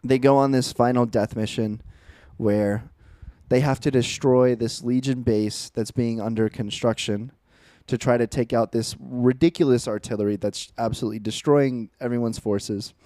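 A man's voice: medium pitch, steady tone, normal speed, few pauses, warm tone, no room sound. A man in his twenties, co-hosting a casual podcast chat, telling stories in an earnest, reflective way. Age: 20s. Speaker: podcast co-host.

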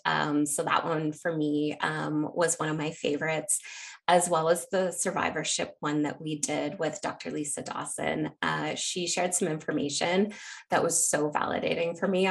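Deep gravelly-voiced woman, earnest. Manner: earnest, hesitant with fillers. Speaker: podcast co-host. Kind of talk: casual interview chat.

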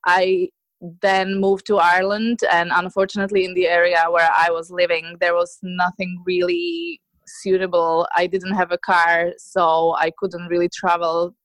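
A woman's voice: fairly low pitch, moderate pace, no echo. A woman in her thirties from Slovakia, answering interview questions about her dance group in a chatty way, with many fillers and restarts. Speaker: guest, dance teacher.

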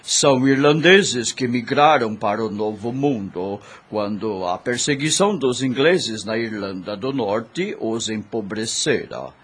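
An actor playing a calm, informative priest in a Brazilian radio soap, explaining in a measured way with a Brazilian accent. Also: male voice, medium pitch, breathy edge, exaggerated voice.

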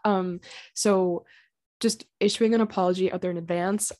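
A young woman hosting her own podcast, talking casually and earnestly, her throat slightly raspy from being sick. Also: lowish voice, steady tone, moderate pace, mellow. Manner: chatty and earnest, with fillers and small laughs. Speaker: podcast host. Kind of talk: casual solo podcast talk. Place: home.

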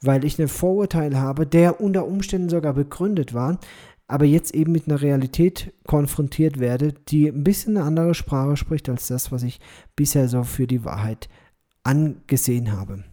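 A man's voice: fairly high pitch, moderate pace, warm tone. A man speaking alone on his podcast as a missionary, explaining his views in a reflective way with frequent hesitations and restarts. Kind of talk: solo podcast commentary.

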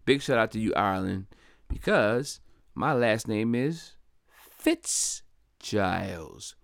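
A man from Delaware hosting his own podcast, talking in a casual, upbeat, chatty way and giving slangy shout-outs to listeners. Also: on the low side, expressive, takes it slowly, vocal fry, dry recording, studio quality. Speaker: podcast host. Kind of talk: casual solo podcast monologue.

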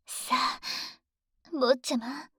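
A woman plays a devoted dragon maid in an intimate ASMR drama, high in pitch and unhurried. Her servant's politeness is possessive and seductive, coaxing the listener and often falling to a whisper. The sound is dry and very close to the microphone. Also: fairly even intonation, fairly bright tone, breathy edge, no echo, full character voice. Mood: teasing, coaxing.

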